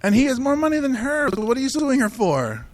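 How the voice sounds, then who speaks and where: A man's voice: very high voice, big pitch swings, brisk, dry recording. A man hosting a comedy podcast, joking and teasing his co-host in casual chat and laughing often. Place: Hawaii.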